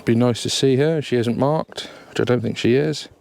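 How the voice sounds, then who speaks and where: A man's voice: medium pitch, fairly quick, creaky. A man with an English accent, sniffly from hay fever, chatting casually outdoors as he inspects his beehive in a bee suit. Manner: relaxed, chatty, thinking aloud. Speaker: beekeeper. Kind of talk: casual narration while working. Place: outdoors at a hive in a grassy apiary. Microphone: camera near the hive, wearing a bee suit.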